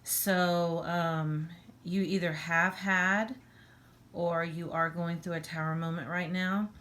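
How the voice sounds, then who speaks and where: A woman with a hoarse voice giving a casual tarot reading, halting with many ums and frequent throat clearing. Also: on the low side, fairly even intonation, a bit bright. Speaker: tarot reader.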